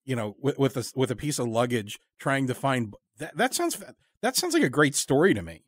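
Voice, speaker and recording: Wry man with lively, swooping intonation. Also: medium pitch, fairly quick, no echo. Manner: wry, joking, musing. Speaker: interviewer, podcast host. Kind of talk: casual conversational interview.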